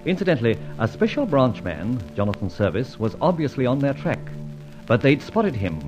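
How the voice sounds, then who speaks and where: An actor playing a suave, mocking gentleman adventurer, sardonic and mock-courteous, in an old radio drama. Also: medium pitch, natural voice. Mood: brisk, confiding. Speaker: lead and narrator of radio serial. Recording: muffled old radio recording.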